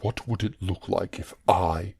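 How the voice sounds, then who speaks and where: An actor playing a cold, controlling villain uncle in an audio drama, with a hoarse, raspy voice and a clipped, dismissive manner. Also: low-pitched, unhurried, darkish tone, no room sound, studio quality, heavily acted.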